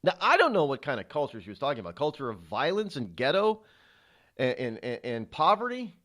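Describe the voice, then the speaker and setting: A man's voice: mid-range voice, wildly swooping pitch, brisk, fairly bright tone. A middle-aged man hosting a talk radio show, delivering an indignant, rhetorical monologue with frequent stumbles and fillers.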